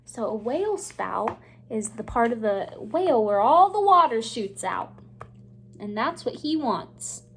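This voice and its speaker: High-pitched, animated woman reading a storybook. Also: breathy edge. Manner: expressive, deliberate, stresses and stretches key words. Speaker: teacher reading a picture book aloud. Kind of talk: children's storybook read-aloud.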